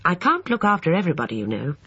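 Guy doing a calm, severe young woman, raised voice, dry muffled sound. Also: on the high side, quick pace, slightly rough, dry recording, voice pushed up, heavily acted. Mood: prim. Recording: dull lo-fi audiobook recording.

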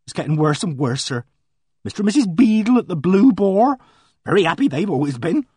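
Man putting on a fussy middle-aged charwoman, breathless rambling, high voice, swooping pitch. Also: very animated, a little fast, mellow, breathy edge, no room sound, studio quality, heavily acted. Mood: earnest. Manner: breathless, rambling country speech.

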